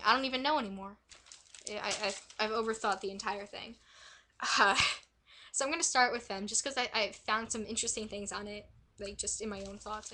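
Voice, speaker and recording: A young woman vlogging casually, hoarse and raspy from a cold, rambling with lots of fillers and self-corrections. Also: medium pitch, pushed and bright, slight background noise. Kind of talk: casual vlog talk. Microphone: quiet built-in mic.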